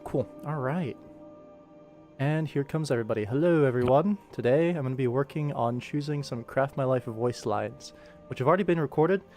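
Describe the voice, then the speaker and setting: Casual male livestreamer over soft music. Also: mid-range voice, moderate pace. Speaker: livestream host and animator. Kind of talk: casual livestream chat while working. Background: faint background music.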